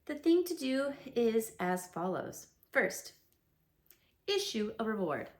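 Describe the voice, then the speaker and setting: A woman's voice: a little high, big pitch swings, slow, darkish tone. A woman voicing a pompous, long-winded male owl. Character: pompous wordy male owl, self-important.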